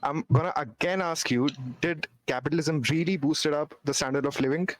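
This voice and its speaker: Young student, combative and repetitive, mocking tone, dry close mic. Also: male voice, fairly high pitch, a little fast, no room sound. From India. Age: young adult. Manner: repetitive, challenging, mocking with laughs. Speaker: philosophy student. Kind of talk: casual debate on video chat.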